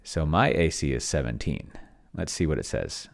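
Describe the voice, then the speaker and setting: A middle-aged man with a very deep voice and a lot of vocal fry talks casually through what he is doing on a computer. He thinks out loud, often muttering and trailing off, as someone hosting alone from a home desk. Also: big pitch swings, moderate pace. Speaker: host. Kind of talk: casual thinking-aloud screen-share commentary. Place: indoor home room at a desk.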